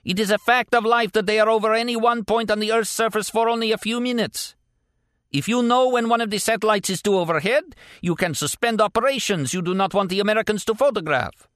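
A man voicing a mad, pompous middle-aged warlord who blusters and shouts in a high, theatrical voice. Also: a little fast, no room sound, clean studio sound. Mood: blustering.

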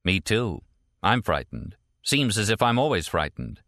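A man's voice: on the low side, big pitch swings, unhurried, bright, forceful tone, no room sound, clean studio sound, exaggerated voice. A man voicing a wary, brooding male character in an audiobook, terse and evasive. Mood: wary, subdued. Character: wary, guarded, brooding man thinking slowly aloud.

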